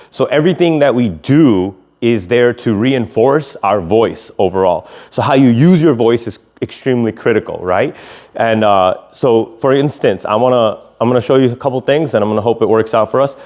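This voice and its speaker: A man giving an instructional talk as a dog trainer, explaining things and using clear, short commands and praise. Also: medium pitch, a little mellow.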